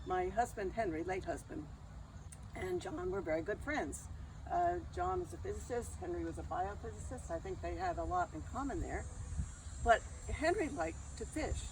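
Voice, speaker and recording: An older woman giving an informal memorial tribute with wry humor and measured lists. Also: medium pitch, big pitch swings, vocal fry. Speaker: speaker at a memorial service, friend of the deceased. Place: outdoors at a cemetery memorial gathering. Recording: very noisy outdoor recording.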